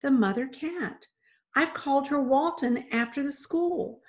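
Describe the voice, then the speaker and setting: A woman voicing an earnest young girl who pleads tearfully and rushes on, as in a storybook reading. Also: high-pitched, pitched above the performer's own voice.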